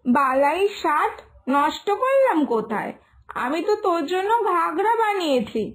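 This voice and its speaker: A woman voicing a loving but firm village mother in an animated moral story, scolding and coaxing in a motherly way. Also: very high-pitched, a little slow, heavily acted. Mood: tender, coaxing.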